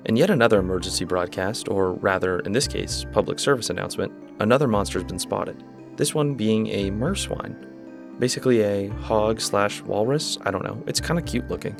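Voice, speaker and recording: A man's voice: on the low side, a little fast, creaky. A man hosting a video, casually breaking down a horror series in a conversational manner. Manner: relaxed, conversational, enthusiastic asides. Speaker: YouTube host explaining a horror series. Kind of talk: casual analysis commentary. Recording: voice-over with music behind.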